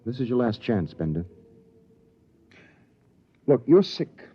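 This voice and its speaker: An actor playing a resolute, conflicted space captain, grave and earnest, in a 1950s radio drama. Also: male voice, mid-range voice, big pitch swings, slow, a little hiss or hum. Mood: grave, firm. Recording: muffled vintage radio recording, faint music.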